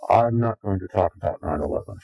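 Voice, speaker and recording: Man, dark mellow timbre, solemn, deliberate pauses, interview testimony, slightly unnatural processed voice. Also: low-pitched, gravelly, faint music behind. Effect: voice changer removed, slightly unnatural sound. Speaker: anonymous interviewee.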